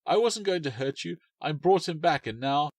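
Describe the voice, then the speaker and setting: A man's voice: a little high, expressive, normal speed, dry recording, voice pushed up. A man voicing a distressed young girl who pleads and calls out urgently in a storybook reading. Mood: upset, shouting. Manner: raised, urgent, exclaiming delivery.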